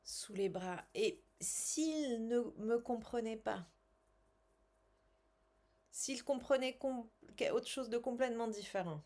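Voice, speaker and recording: A woman coaching a guided tapping exercise in short, measured, instructional phrases with pauses and repeated statements. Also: fairly high pitch, lively intonation, mellow. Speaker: tapping coach and language teacher.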